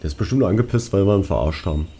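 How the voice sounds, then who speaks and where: A man's voice: low voice, expressive, very fast, mellow, a little hiss or hum. A young man giving casual gameplay commentary, slangy and muttering to himself. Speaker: let's player.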